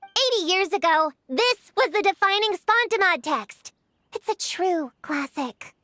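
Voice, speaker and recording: A woman's voice: very high-pitched, expressive, measured pace, bright, forceful tone, no echo, heavily acted. A woman voicing a defensive, self-important young girl witch hunter in a video game, using formal words with an indignant, bluffing manner. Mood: puzzled, haughty. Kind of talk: animated video game voice acting.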